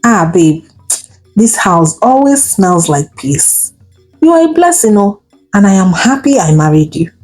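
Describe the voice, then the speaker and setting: A woman voicing a smooth-talking, carefree cheating husband with a Nigerian accent in a told folktale. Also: medium pitch, very animated, a little slow, darkish tone, soft background music, rough recording. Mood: sweet-talking. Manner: sweet-talking, breezy.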